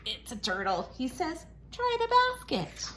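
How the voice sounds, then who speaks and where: A woman's voice: high voice, huge pitch swings, measured pace. A woman reading a picture book aloud to young children as an educator, in an exaggerated, sing-song storytime manner. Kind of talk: animated children's read-aloud, modeling core words. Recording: loud noise behind the voice.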